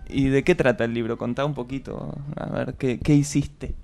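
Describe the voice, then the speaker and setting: Man co-hosting radio, smooth voice. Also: mid-range voice, steady tone, moderate pace, darkish tone, soft background music. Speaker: radio co-host. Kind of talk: radio chat and reading aloud. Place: radio studio.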